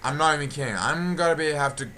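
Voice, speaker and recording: A young British man recording a casual audio log at home, circling back over the same points with plenty of fillers and false starts. His voice has a bright, tense edge, and a faint hiss sits under the recording. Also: on the high side, quick pace. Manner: repetitive, meandering, many restarts and fillers. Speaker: channel host.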